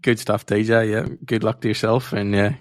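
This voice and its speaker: A man's voice: on the low side, flat delivery, moderate pace. A man co-hosting a casual podcast, laid-back and easygoing, leaning on fillers. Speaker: podcast co-host.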